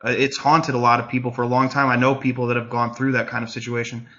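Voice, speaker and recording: An earnest man on a discussion panel works through his point, restarting his sentences as he goes. His voice is bright and forceful. The recording has a dull, lo-fi sound. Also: mid-range voice, flat delivery, fast talker.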